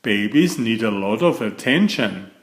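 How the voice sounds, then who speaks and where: A gentle mother bear from a picture book, played by a man. She explains things patiently and soothingly, taking her time. The room is echoey. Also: on the low side, lively intonation, a little slow. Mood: patient, reassuring.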